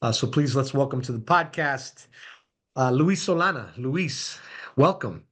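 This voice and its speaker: A man's voice: mid-range voice, animated, unhurried, a bit bright. Effusive male podcast host. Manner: effusive, repeats words for emphasis. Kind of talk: podcast intro and interview.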